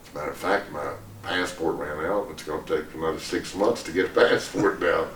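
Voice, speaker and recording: A man's voice: rumbling low, fairly quick, a bit bright, echoey room, distant mic, a little hiss or hum. An older man, a singer-songwriter, talking reflectively and hesitantly in an unscripted interview, rambling a little as he goes. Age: older, 70s or above.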